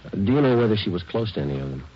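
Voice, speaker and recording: An actor playing a calm, businesslike police sergeant who also narrates, clipped and matter-of-fact, in a radio detective drama. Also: male voice, fairly low pitch, rapid-fire, breathy edge, dull audio. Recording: old radio show with music behind.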